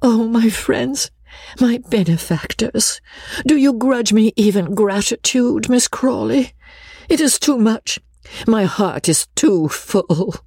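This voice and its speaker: A woman puts on a meek young governess from a storybook. Her voice is raised high and swoops dramatically as she pleads. She is polite and tearfully grateful, with a slightly husky tone. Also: animated, moderate pace, warm tone, no room sound, clean studio sound. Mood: wounded, pleading.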